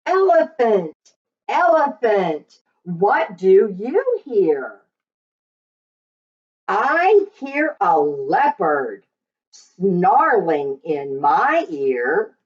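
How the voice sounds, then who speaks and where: A woman reading a picture book aloud to young children in an expressive, sing-song way. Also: mid-range voice, very slow. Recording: slightly dull, lo-fi recording.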